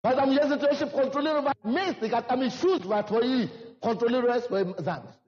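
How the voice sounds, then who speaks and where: High-voiced preacher in a reverberant hall. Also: male voice, a little fast, a little mellow. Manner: preaching with rising emphasis and repetition. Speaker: pastor. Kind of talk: sermon. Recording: dull lo-fi hall recording.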